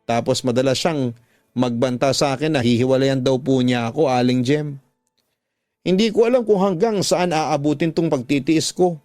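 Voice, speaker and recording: A man's voice: mid-range voice, moderate pace, darkish tone, dry recording, clean studio sound, soft background music, close to an everyday voice. A man voicing a troubled young woman who earnestly and plaintively confides her heartache in a radio drama. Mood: hurt, pleading.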